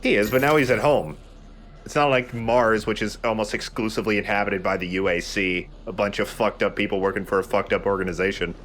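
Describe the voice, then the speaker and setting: Male let's play host, low voice, conversational and jokey, swears casually, noticeable background noise. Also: steady tone, a little fast, a bit bright. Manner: conversational, joking, casually profane. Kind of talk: casual gaming commentary.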